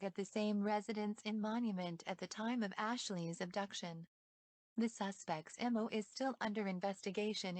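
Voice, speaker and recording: Female news announcer for breaking bulletins, mid-pitched, formal synthetic read, very dry close sound. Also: no echo. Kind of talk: scripted breaking news report.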